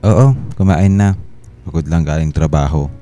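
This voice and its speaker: A man's voice: very deep voice, normal speed, warm tone. An actor playing a tired, dutiful husband and father in a Filipino radio drama, earnest and a little hesitant, with a Filipino accent. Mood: tired, evasive. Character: tired, dutiful working husband and father, grateful, somewhat evasive.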